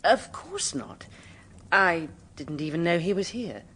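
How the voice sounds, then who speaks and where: A woman's voice: fairly low pitch, lively intonation, slow, fairly bright tone, husky, heavily acted. An actress playing a well-bred, evasive British married woman in a radio drama, polite with anxiety under the poise. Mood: airy, disdainful.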